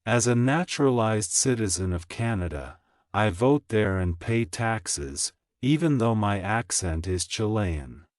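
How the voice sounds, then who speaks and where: A man reading English practice sentences aloud, slowly and clearly, for learners to repeat. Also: fairly low pitch, no echo, studio quality. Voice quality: plain, even reading of each sentence, repeated. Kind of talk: slow, clear scripted reading for shadowing practice.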